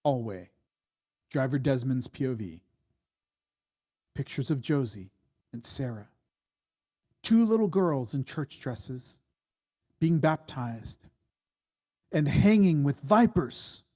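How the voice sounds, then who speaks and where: A man reading screenplay stage directions as dramatic narration, in a deliberate, suspenseful way. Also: mid-range voice, sing-song, mellow.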